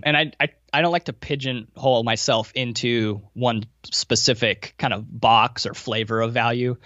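A man's voice: mid-range voice, fairly bright tone, dry recording, lo-fi sound. An American man answering interview questions in a casual, informal, anecdotal way, with some self-deprecation. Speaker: podcast guest, value investor running a fund.

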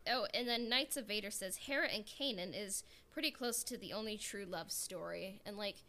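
A woman's voice: medium pitch, moderate pace, sharp tone. A woman hosting a casual podcast, chatting informally and reading out listener comments. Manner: informal, conversational. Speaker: podcast host.

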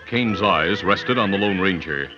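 Low-voiced man narrating an old radio western. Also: measured pace. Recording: dull old radio recording. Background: music.

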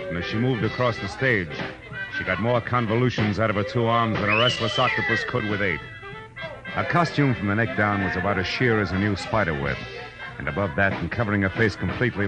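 World-weary American male private eye, acted, lowish voice, deadpan noir narration with music clearly underneath. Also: lightly acted, low-quality recording. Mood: wry, intrigued. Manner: wry, deadpan, punchy. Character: wry, world-weary hard-boiled male private detective. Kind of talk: 1940s radio noir first-person narration. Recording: old radio drama, music underneath.

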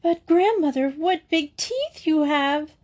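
A woman's voice: mid-range voice, expressive, a little slow, darkish tone, husky. A woman voicing an innocent, trusting little girl in a storybook, sweet and earnest. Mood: wondering. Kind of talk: storybook character voice.

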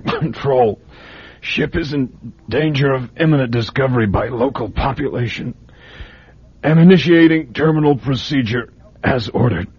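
An actor playing a terse spaceship scout in a radio drama, giving clipped, formal reports in a rough, husky voice. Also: male voice, medium pitch, dull audio. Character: terse scout ship crewman reporting to mission control.

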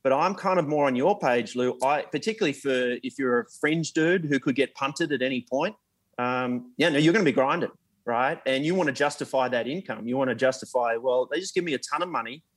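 A man's voice: medium pitch, a little fast. A man who plays golf professionally, talking casually on a panel with many fillers and restarts. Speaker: professional golfer.

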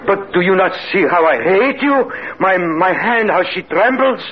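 An actor playing a jealous, lovesick Spanish barber in an old radio comedy, mock-tragic and given to drawn-out declarations. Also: male voice, high voice, animated. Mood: seething jealousy. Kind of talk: 1950s radio comedy acting. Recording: muffled noisy radio recording, loud studio audience.

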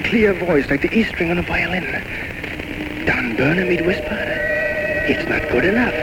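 Tormented, self-loathing failed writer in his thirties; highish, very animated, theatrical monologues, noisy vintage radio. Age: 30s. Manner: rambling, theatrical, bitterly self-mocking monologues. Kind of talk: 1940s-1950s radio drama acting. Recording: noisy old radio broadcast recording.

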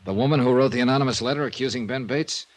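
An actor playing a skeptical, world-weary insurance investigator in an old radio detective drama, questioning wryly and narrating dramatically. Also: male voice, medium pitch, very fast, natural voice. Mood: probing, skeptical. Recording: noisy vintage radio recording.

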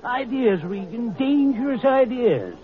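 A man's voice: very high-pitched, very animated, unhurried, full character voice. An actor playing a hard-hearted, complaining male factory owner, alarmist in manner, in an old radio drama. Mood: indignant. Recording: tinny, narrow old radio recording.

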